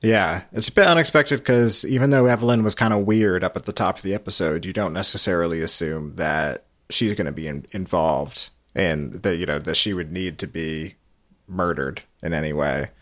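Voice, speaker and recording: A man's voice: low voice, moderate pace, a bit bright, dry recording, studio quality. A man hosting a recap podcast in casual, hesitant, rambling chat. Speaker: podcast host.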